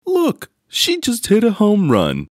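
A man voicing a hearty, excitable father bear in a storybook, with an emphatic, booming delivery. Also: slow pace, dry recording, full character voice. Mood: cheerful, proud. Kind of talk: storybook read-aloud character voice.

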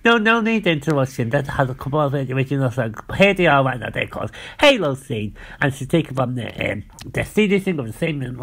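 Husky, gravelly man introducing songs. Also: mid-range voice, a bit bright. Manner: rambling, repetitive, halting. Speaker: amateur DJ presenting songs. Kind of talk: informal radio-style DJ links. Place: indoors at home.

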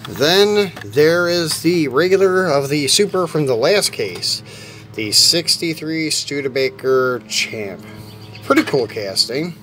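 A man's voice: mid-range voice, a bit bright. A middle-aged American man giving casual, informal unboxing commentary with rambling asides. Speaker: toy car collector hosting an unboxing. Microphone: handheld camera or phone mic. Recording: home camera with clear background noise.

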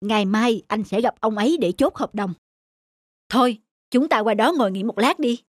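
A man's voice: sits high, normal speed, dry recording, clean studio sound. An actor playing a composed young businessman husband in a cartoon, courteous and earnest in manner. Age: young adult. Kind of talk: cartoon voice acting. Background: faint music.

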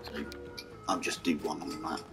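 A man with a hoarse, croaky voice, mumbling casual commentary over a strategy game and talking to its vehicles. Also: very deep voice, little pitch movement, normal speed. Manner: mumbling, talks to the game's vehicles. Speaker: gaming channel host. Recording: game music behind voice.

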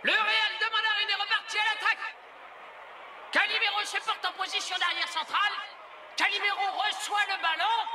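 High-pitched excitable cartoon commentator. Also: male voice, moderate pace, reverberant, background noise. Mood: excited. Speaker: match commentator. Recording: distant, music and crowd behind.

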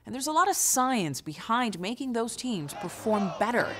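Lively young woman narrating a documentary. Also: a little high, normal speed, background noise. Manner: polished, expressive presenting. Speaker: narrator, host.

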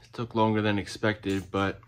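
Casual male vlogger, twenties, low voice with flat delivery, laid-back car-build narration on a handheld phone. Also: normal speed, clean tone. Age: 20s. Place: home garage workshop, indoors. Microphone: handheld phone at arm's length.

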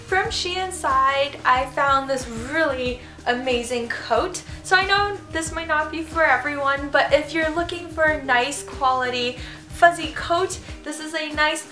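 A woman's voice: high-pitched, a little slow, sharp tone. A young woman in her twenties with a cold and a congested voice, chatting bubbly and enthusiastic in a casual fashion haul vlog from her bedroom. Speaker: fashion vlogger. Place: bedroom, indoors. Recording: roomy echo with background music.

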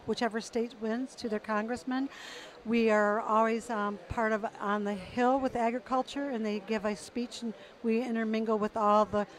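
A middle-aged woman answering interview questions about an awards program, informative with some fillers, on a handheld mic in an exhibition hall. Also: on the high side. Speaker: program representative.

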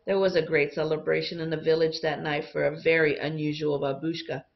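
A woman teacher reading a picture book aloud to young students in an expressive storytelling style. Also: deep voice, fairly quick, gravelly, dull audio.

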